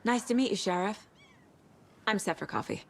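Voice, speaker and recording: A woman's voice: medium pitch, expressive, moderate pace. An actress playing a composed, professional female FBI agent in a game cutscene. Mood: steady. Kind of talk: video game cutscene acting.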